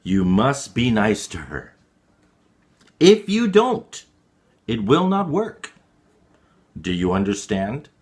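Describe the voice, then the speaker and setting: Man as a slow, measured storybook monk. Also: on the low side, wildly swooping pitch, darkish tone, heavily acted. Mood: firm instructing. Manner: measured, emphatic, instructing. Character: calm, wise old-fashioned monk giving firm advice.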